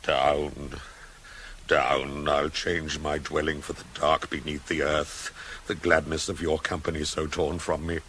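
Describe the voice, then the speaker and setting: A man's voice: a bass voice, level tone, a bit bright, slight background noise, full character voice. An actor playing a proud, widowed ancient Greek king in a radio play, declaiming tragic verse with grief and rage. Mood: hushed grief. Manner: declamatory, slow, heavily dramatic.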